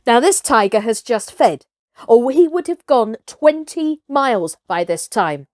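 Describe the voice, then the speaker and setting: Lady doing a grumpy pompous old hunter man from a storybook, scolding, unhurried, dry recording. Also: a little high, animated, darkish tone, no room sound, pitched above the performer's own voice. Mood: scornful. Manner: scolding, self-important.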